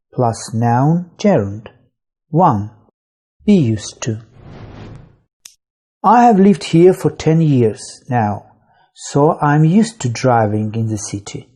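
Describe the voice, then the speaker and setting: This is a husky, mellow voice reading a grammar lesson at a slow, deliberate pace. The pitch moves a lot between phrases. It sounds like a scripted voice-over for an online course. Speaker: English teacher.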